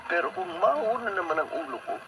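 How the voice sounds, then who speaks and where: A man's voice: high voice, big pitch swings, a little fast. An actor with a Filipino accent playing a lovestruck, dramatic young male student in a radio drama, pleading and persistent. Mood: despairing. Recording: very noisy radio broadcast.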